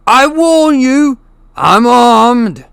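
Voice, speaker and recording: A man voicing a blustering, indignant, frightened middle-aged uncle in a storybook, speaking in a formal, demanding, sharp manner. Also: very high voice, lively intonation, drawn-out words, vocal fry, clear, resonant tone, no room sound, voice pushed up, heavily acted. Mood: alarmed, shouting.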